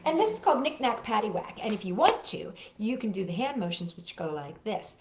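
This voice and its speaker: A woman's voice: mid-range voice, big pitch swings, brisk, background noise. A woman hosting a children's storytime, telling and explaining things simply and expressively to young listeners. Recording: roomy echo, slightly dull sound.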